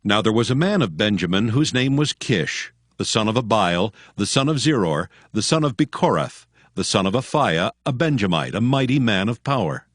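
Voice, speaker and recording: Guy narrating the bible, lowish voice, measured but lively, dry studio. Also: no echo. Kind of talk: scripted scripture narration.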